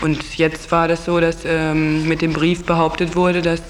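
A man's voice: fairly high pitch, completely flat delivery, a little slow. A man being interviewed, explaining in long, winding, unscripted sentences. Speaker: interviewee, house project resident. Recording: clearly noisy radio interview recording.

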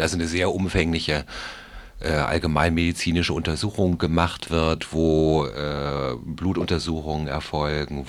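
Very deep-voiced man explaining carefully. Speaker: clinic staff member being interviewed. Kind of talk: explanatory interview answers.

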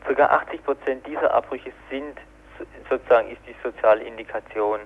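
A man explaining things in an unscripted interview, with halting, hesitant phrasing. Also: mid-range voice. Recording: tinny narrow telephone line.

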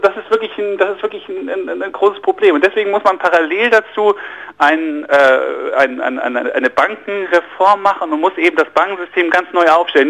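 Halting German man explaining finance. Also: fairly high pitch, gravelly, a bit bright, rough recording. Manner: fluent but halting, many fillers and repeated words. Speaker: financial journalist, interview guest. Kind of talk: radio interview, explaining economics. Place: radio studio.

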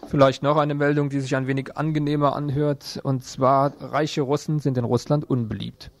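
A man's voice: medium pitch, flat delivery, moderate pace, no room sound. A man presenting radio news, reading written reports in an informal programme. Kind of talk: read news bulletin with brief informal asides.

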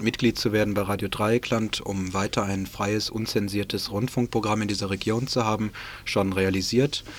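Low-voiced man reading radio news. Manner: measured, formal delivery. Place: radio studio.

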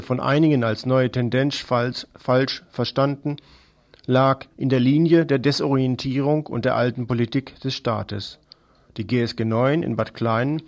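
A man's voice: medium pitch, creaky. A man presenting scripted political news commentary on the radio.

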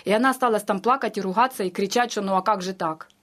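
Fast-talking woman telling a personal story. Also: medium pitch. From Ukraine. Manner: animated retelling that acts out quoted dialogue. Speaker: interviewee, refugee recounting her escape. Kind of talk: informal personal storytelling in an interview.

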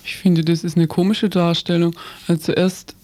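A woman's voice: on the low side, flat delivery, moderate pace, dark tone. A woman co-hosting a radio press review, commenting in a conversational way. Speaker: radio co-host.

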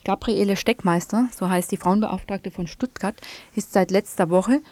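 A woman's voice: mid-range voice, moderate pace, warm tone, dry recording. A woman reading scripted radio news as a presenter.

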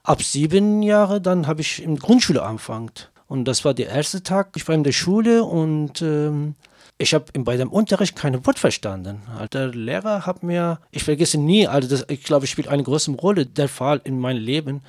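A Kurdish singer whose first language is Kurdish, speaking hesitant, broken German with many fillers while being interviewed. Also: male voice, a little high, animated, a little mellow, no echo, clean studio sound. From Kurdish region of Turkey. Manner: halting non-native German with many fillers. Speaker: singer, guest. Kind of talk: interview answers.

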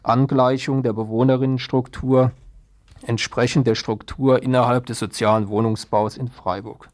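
A man's voice: mid-range voice, flat delivery, normal speed, darkish tone. A man working as a radio news presenter, reading a scripted report.

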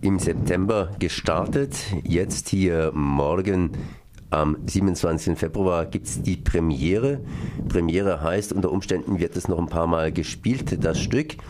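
A man hosting a radio interview, relaxed and joking. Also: deep voice, background noise. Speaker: radio host.

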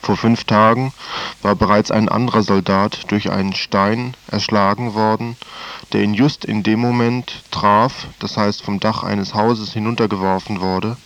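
A man presenting radio news commentary, reading in a measured way with occasional fillers and self-corrections. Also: on the low side, muffled recording.

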